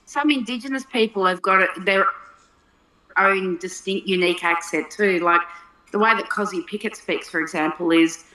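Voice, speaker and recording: A woman's voice: medium pitch, normal speed, sharp tone, poor audio. A woman from Melbourne with an Australian accent, chatting casually and thinking aloud as she explains things. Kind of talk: casual chat.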